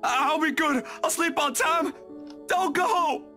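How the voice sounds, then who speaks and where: A small frightened child in a horror game. The voice is high and bright, drawn out slowly in pleading, with faint music behind it. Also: exaggerated voice. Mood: pleading, scared. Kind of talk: dramatic game voice acting.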